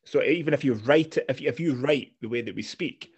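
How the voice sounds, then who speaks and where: A man's voice: mid-range voice, fairly quick, breathy edge. Scottish guy just chatting, swears a lot, direct, keeps restarting his sentences. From Scotland. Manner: blunt, sweary, rambling with restarts. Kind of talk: casual chat.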